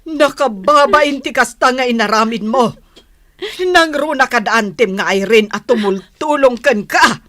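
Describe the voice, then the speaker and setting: Middle-aged mother, stern, scolding, slightly high voice, radio drama. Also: unhurried, fairly bright tone, dry recording. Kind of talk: Ilocano radio drama acting.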